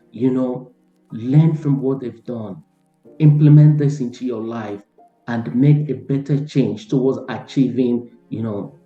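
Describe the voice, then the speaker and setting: A man hosting a motivational interview, talking earnestly, repeating his pleas and filling pauses with "you know". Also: mid-range voice, warm tone, reverberant. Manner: earnest, pleading, repeats words. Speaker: host.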